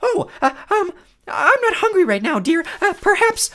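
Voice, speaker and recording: A man's voice: very animated, normal speed, sharp tone, heavily acted. A stammering panicky satyr boy. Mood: panicked pleading. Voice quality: high, squeaky, stammering.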